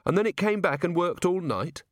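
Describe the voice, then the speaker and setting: A man voicing an earnest young male watch captain with a formal, courteous, measured manner in an audiobook. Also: high voice, lively intonation, no room sound, studio quality, pitched above the performer's own voice. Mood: polite, brisk.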